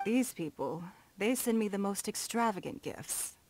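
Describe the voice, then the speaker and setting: An actress playing a stately, shrewd noblewoman in a video game, formal, measured and self-assured, with prominent S sounds. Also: mid-range voice, animated, a little mellow, slight background noise, lightly acted. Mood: amused, confiding. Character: poised, stately noblewoman and shrewd business magnate. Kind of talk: video game character dialogue. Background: background music.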